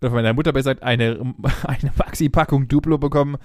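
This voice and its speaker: A man's voice: mid-range voice, fairly even intonation, quick pace, no echo, studio quality. A man in his thirties chatting casually, rambling through stories with many fillers, restarts and frequent laughs. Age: 30s. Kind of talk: casual chat.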